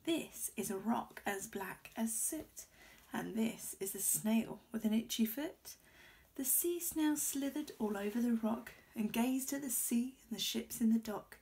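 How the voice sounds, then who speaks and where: A young woman with a fairly high voice reads a children's rhyming story aloud. She takes her time, placing each phrase with expression. She is recorded a short way from the camera microphone. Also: a little slow, creaky, warm tone, distant mic, rough recording. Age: young adult. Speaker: storybook reader. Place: small indoor room. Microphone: camera a short distance away.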